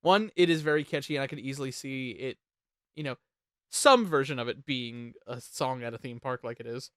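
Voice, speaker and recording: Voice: medium pitch, brisk, fairly bright tone, no room sound, studio quality. A host leading a casual group chat that ranks songs, joking and swearing freely.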